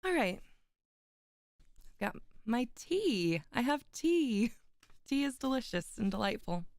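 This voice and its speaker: A young woman streamer, a little hoarse, chatting with viewers and then reading classic prose aloud with giggles and small stumbles. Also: on the high side, sing-song, takes it slowly, dry recording. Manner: giggly, chatty, stumbles over foreign words. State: slightly rough, hoarse voice. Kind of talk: casual chat and literary reading aloud.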